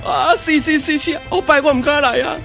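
A man's voice: very high-pitched, steady tone, a little fast, gravelly, sharp tone, voice pushed up, heavily acted. A man with a Taiwanese accent voicing a blustering, cowardly, stammering foreign commander in a theatrical puppet radio drama. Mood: grovelling. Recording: music under the voice.

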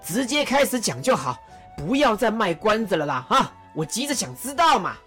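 A woman voicing an impatient, eager male warlord who talks in a fussy, hurried way. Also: mid-range voice, very animated, fairly bright tone, faint music behind.

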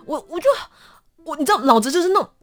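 A woman's voice: high voice, racing. A woman with a Taiwanese accent chatting casually and expressively as an interview guest. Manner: animated, expressive storytelling.

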